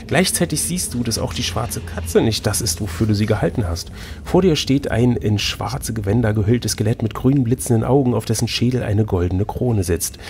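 A man's voice: lowish voice, normal speed, few pauses, a little mellow. A man acting as game master, reading a fantasy gamebook aloud and bantering casually. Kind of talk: storybook narration mixed with casual banter. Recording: noticeable background noise.

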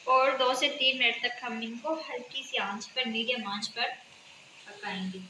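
A woman's voice: a little high, measured pace. Woman demonstrating cooking in an echoey kitchen. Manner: calm, instructive, often ends steps with a check-in. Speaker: home cooking channel host. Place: home kitchen, indoors. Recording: echoey room with sizzling noise. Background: frying and sizzling from the pan.

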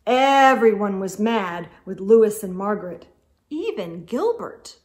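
Slow-paced middle-aged woman reading to children. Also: medium pitch, sing-song, darkish tone. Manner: deliberate, clearly phrased delivery aimed at young children. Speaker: children's storytime host reading aloud. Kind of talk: expressive picture-book read-aloud for children. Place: indoor room with bookshelves.

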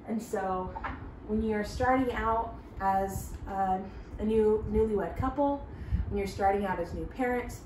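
A young woman gives a casual home tour, upbeat and chatty as she walks from room to room. Her voice sits at an ordinary middle pitch and carries a roomy echo, picked up from a few steps away. Faint room ambience hums underneath. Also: noisy background. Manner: upbeat and chatty, walking while talking. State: pregnant. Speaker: stay-at-home mom giving a home tour. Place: small home with kitchen, nursery and bathroom. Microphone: camera a few steps away. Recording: roomy, slightly distant sound, faint ambience.

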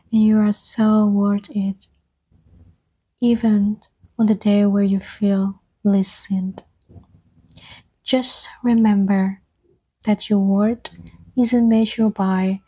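A woman speaks softly in a slow, soothing ASMR meditation style. Her voice is mellow and breathy, often thinning toward a whisper. She leaves long, gentle pauses between short phrases. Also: medium pitch, poor audio. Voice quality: soft-spoken, breathy, often slipping into whisper. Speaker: ASMR guide and host.

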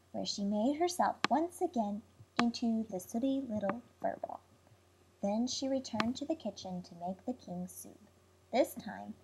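A woman narrating a fairy tale as a read-aloud storyteller, in measured storybook phrasing. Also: on the high side, low-quality recording. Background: music.

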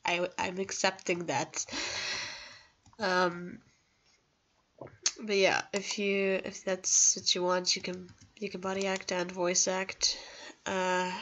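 A woman's voice: on the low side, fairly bright tone, muffled recording. A young woman chatting casually over gameplay, rambling, self-deprecating and reassuring, recorded on a home computer microphone. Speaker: gaming video creator.

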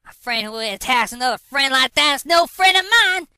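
A man puts on a stern female cartoon farm pony for a story reading. The voice is pushed up high, blunt and folksy, in a dry recording. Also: animated, moderate pace, pushed and bright, clean tone, no room sound, heavily acted. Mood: furious.

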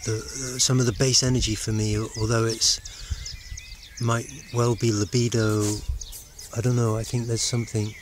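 A middle-aged man giving a rambling, reflective monologue to camera, halting as he searches for words. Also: mid-range voice, level tone. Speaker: vlogger. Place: outdoors in open countryside. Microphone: handheld camera at arm's length. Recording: noisy outdoor camera recording. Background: birds and outdoor noise.

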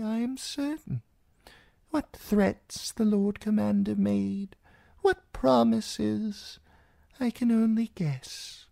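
A narrator voicing a frail, blind, very old male maester who speaks softly and wisely. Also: high-pitched, creaky, no echo, studio quality, pitched above the performer's own voice, heavily acted. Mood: grave. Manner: soft, slow, hushed speech. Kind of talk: audiobook character voice.

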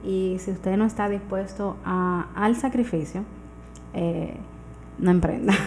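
Dominican woman, 30s, radio interview guest, conversational explainer, medium pitch, noisy backdrop. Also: measured pace, a little mellow. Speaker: guest entrepreneur. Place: small radio studio, indoors. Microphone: studio microphone on arm. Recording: clear background noise.

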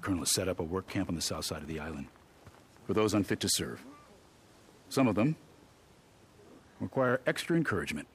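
An actor playing a disciplined militia soldier in a video game, speaking in a clipped, matter-of-fact way. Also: male voice, low voice, expressive, brisk, pauses often, a little hiss or hum. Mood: businesslike. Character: curt, disciplined, loyal militia soldier escort.

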